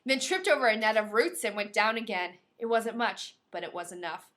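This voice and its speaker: Woman, higher-pitched voice, podcast host, expressive novel reading, playful, casual asides. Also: a little fast. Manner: playful, breaks into joking asides.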